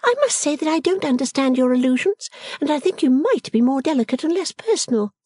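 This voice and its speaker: Sulky, disdainful young lady, put on by a female reader, high and airy, swooping pitch. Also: very animated, fairly quick, darkish tone, no echo, clean studio sound. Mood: haughty. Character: haughty, sulky young daughter, pert and disdainful.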